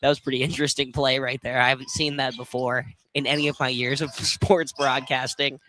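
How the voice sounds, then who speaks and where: A man giving casual analysis on a live college basketball radio broadcast, conversational and often halting as he searches for words. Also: medium pitch, little pitch movement, sharp tone. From northern, self-described Northerner. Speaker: basketball color commentator. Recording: noisy live sports broadcast with clicks.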